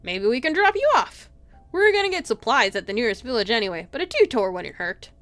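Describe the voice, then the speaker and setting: A woman voicing a gruff but kindly older man while reading a visual novel aloud. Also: fairly high pitch, very animated, a little fast, fairly bright tone, no room sound. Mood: casual, probing.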